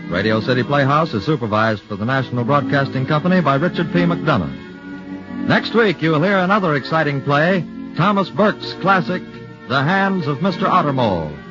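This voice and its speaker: A man, a radio announcer, reading formal credits in a measured, declamatory way. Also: a little high. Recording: dull 1940s radio broadcast with music.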